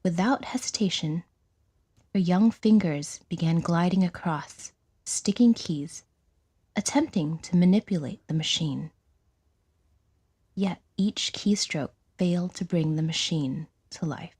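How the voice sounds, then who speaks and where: Breathy, low-pitched woman narrating fiction. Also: a little slow, a little mellow. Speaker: audiodrama narrator. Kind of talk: scripted dramatic fiction narration.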